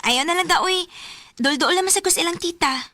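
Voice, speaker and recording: A woman's voice: very high-pitched, fairly quick, bright, forceful tone. A woman playing a polite, trusting schoolgirl daughter in a radio drama, with a Filipino accent. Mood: shy, surprised.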